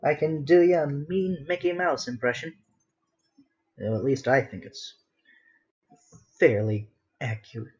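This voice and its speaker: Slow-talking woman, casual and musing. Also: low voice, steady tone, a little mellow, muffled recording. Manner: musing to self, unhurried. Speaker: artist hosting a live drawing session. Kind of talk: casual chat while sketching.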